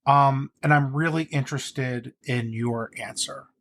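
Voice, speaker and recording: Guy doing an interview, really unhurried, mid voice, measured and conversational. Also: medium pitch, fairly even intonation, a bit bright, a little hiss or hum. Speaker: interviewer, playwright.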